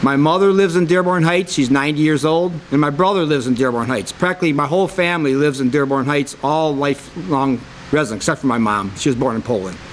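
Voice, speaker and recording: Higher-pitched American man in his sixties. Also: fast talker, few pauses, slight background noise, poor audio. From Michigan, United States. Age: 60s. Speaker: city council candidate. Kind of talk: informal introductory speech at a candidate forum.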